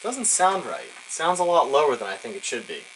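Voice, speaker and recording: Male hobbyist demonstrator, mid-pitched, informal and musing, faint noise behind him. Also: very animated, brisk. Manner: informal, musing to himself while working. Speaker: electronics hobbyist narrating his build. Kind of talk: casual hands-on demonstration, thinking aloud. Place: cluttered indoor electronics workbench.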